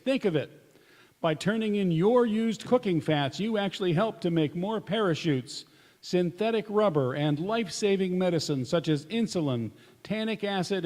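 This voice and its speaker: Man playing high-pitched wartime radio announcer. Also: a little mellow. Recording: echoey hall, long reverb.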